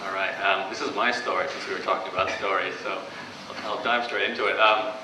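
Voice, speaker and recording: A man from Australia with a Canadian accent giving a live workshop presentation in an event room, speaking in a quick, chatty and informal way to the audience. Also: deep voice, fairly even intonation, echoey room, far from the mic, noisy background. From Brisbane, Australia.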